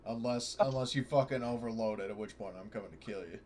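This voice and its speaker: A man playing a tabletop game over a voice call, chatting casually in a halting, sweary way. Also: on the low side, a little mellow. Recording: noisy online voice call.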